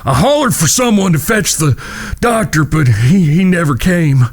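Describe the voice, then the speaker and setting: An actor playing a grieving, steady frontier outlaw leader in a western audio drama. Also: male voice, on the high side, normal speed. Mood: grief-stricken.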